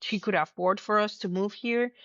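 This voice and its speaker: A woman's voice: mid-range voice, normal speed, no room sound. Mexican-accented woman, muffled call audio. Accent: Mexican Spanish. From Mexico City. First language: Spanish. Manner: earnest, animated storytelling. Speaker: interview guest. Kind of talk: conversational interview. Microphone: remote call from home.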